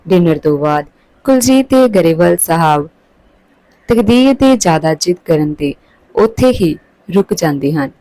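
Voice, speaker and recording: Mellow, lower-pitched woman telling a story. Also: dry recording, poor audio. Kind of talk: scripted story narration.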